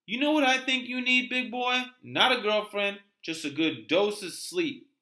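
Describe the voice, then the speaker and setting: Man doing a young female hitchhiker, raised pitch, sassy, folksy, wary, blunt, radio-play drama. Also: unhurried, pushed and bright. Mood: scornful, indignant.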